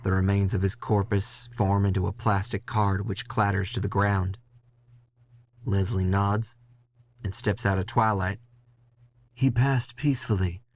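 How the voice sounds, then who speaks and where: A man reading a dramatic first-person horror story in a measured, intense way, with music behind him. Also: low-pitched, slight background noise.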